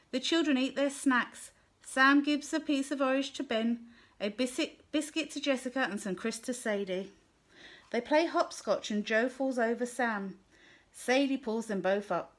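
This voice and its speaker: A woman reading a children's picture book aloud in a gentle, clear storytelling manner. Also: fairly high pitch. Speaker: storybook reader.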